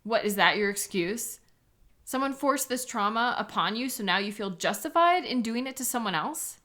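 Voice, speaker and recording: A woman's voice: on the high side, lively intonation, normal speed, sharp tone. A woman playing an embittered, contemptuous police sketch artist with a sarcastic, scornful manner in a dramatic audio roleplay. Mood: angry, disgusted.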